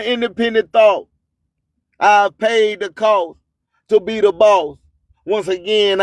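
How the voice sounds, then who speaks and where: A man's voice: high voice, dry recording, clean studio sound. A man hosting an internet radio show in an emphatic, declamatory hype style, repeating slogans.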